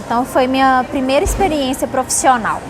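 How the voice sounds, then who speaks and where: A woman's voice: sits high, brisk. A woman from Salvador in Brazil answering interview questions with casual personal storytelling. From Salvador, Bahia, Brazil. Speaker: interviewee, company employee. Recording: noisy background with vehicle sounds.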